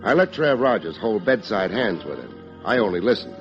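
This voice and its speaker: An actor playing a world-weary, sardonic private detective in an old-time radio drama, delivering wry, deadpan wisecracks and narration. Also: male voice, lowish voice, a little fast. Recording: dull old radio recording, music behind.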